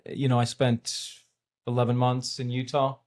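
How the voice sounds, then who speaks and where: A man in his forties from Norway, a youth soccer coach and native Norwegian speaker, calmly telling his story with frequent ums. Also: medium pitch, moderate pace. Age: 40s. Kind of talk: casual interview answers.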